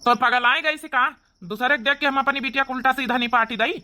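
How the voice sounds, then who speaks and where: A woman's voice: high voice, steady tone, quick pace, sharp tone. A woman voicing a doting, stubborn village father in an Awadhi-accented cartoon comedy, easygoing but firmly protective of his daughter.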